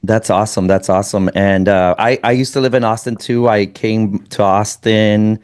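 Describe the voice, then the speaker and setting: A man hosting a running podcast, chatting casually and rambling with many halting restarts. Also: fairly low pitch, steady tone, a little mellow. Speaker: podcast host. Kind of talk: casual interview chat.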